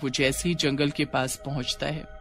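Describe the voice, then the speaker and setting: A man narrates a scripted story in a slightly high-pitched voice, with faint music playing underneath. He delivers it as a storyteller reading from a script. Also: flat delivery, moderate pace, a bit bright.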